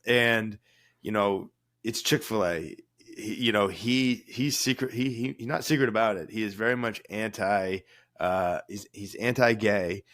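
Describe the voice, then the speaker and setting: Man co-host, a bit low-pitched, voice dulled by a cold, jokey and rambling. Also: a little slow, a bit bright. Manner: joking, rambling with restarts. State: has a cold, low and mellow voice. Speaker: podcast co-host, former cruise director. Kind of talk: casual podcast chat.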